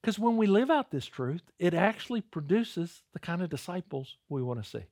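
A man's voice: high-pitched, sing-song, moderate pace, a little mellow, dry recording, clean studio sound. A male pastor giving a conversational sermon, calling his listeners "guys" and sometimes repeating words as he starts a thought.

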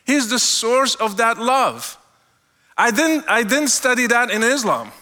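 A middle-aged man from Morocco, sharing an earnest testimony sermon as a guest speaker, slowing down to stress key words. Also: very high-pitched, expressive, pushed and bright. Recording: long hall reverb.

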